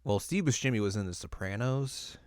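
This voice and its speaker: A man's voice: lowish voice, expressive, fairly quick, no echo, studio quality. A man co-hosting a podcast in casual chat, with an informal manner and wry asides. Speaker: podcast co-host. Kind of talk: casual chat ranking video game movies.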